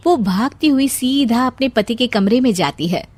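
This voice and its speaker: Higher-pitched woman storyteller, dry sound. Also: expressive, moderate pace, a little mellow, slightly rough, no echo. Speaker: narrator. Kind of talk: scripted story narration.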